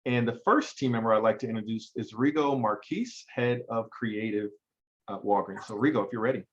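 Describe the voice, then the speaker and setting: Fast-talking male host, slightly muffled. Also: fairly low pitch. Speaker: event host. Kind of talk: moderating a virtual event.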